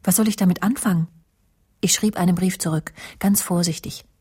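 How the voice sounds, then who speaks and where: Eine Schauspielerin mit rauchiger Stimme spielt in einem Krimi-Hörspiel eine stolze, scharfzüngige Fernsehmoderatorin, die trocken und sardonisch ihre Geschichte erzählt. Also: female voice, fairly low pitch, fairly even intonation, brisk, darkish tone, slightly rough. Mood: cool, disdainful. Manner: dry, sardonic, self-assured. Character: proud, sharp-tongued woman TV presenter narrating her story. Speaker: actress, lead and narrator in radio play. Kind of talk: German radio crime drama, intimate first-person narration and dialogue.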